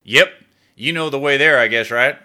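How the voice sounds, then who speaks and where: A man's voice: medium pitch, moderate pace, sharp tone, pitched above the performer's own voice. A man voicing a tired, laid-back athlete who talks in a folksy drawl. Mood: easygoing.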